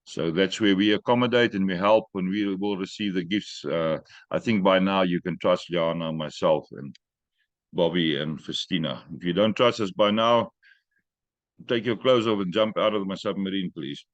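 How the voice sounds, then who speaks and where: A man hosting an online training call, giving brisk instructions with joking asides. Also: sits low, dry recording, highs cut off.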